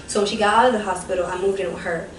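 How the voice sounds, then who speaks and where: Young woman, soft voice, rambling guest storyteller; distant, echoey recording. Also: medium pitch, fairly even intonation, racing. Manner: rambling, self-correcting storytelling. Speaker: guest, ministry founder. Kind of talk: personal testimony in casual chat. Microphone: distant mic. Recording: roomy, distant mic with background noise.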